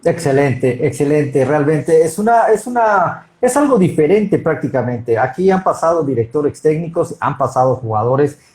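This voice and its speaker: A man's voice: fairly high pitch, expressive, moderate pace, hardly any pauses, darkish tone. A man hosting an online interview, effusive, repeating and restarting phrases. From Venezuela. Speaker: interview host. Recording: roomy echoey audio.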